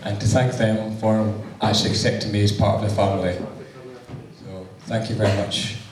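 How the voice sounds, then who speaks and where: A man with a husky voice giving an unprepared wedding thank-you speech as the groom, hesitant with many ums. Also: fairly low pitch, little pitch movement, moderate pace. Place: wedding reception hall with guests. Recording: echoey hall, distant mic, loud crowd.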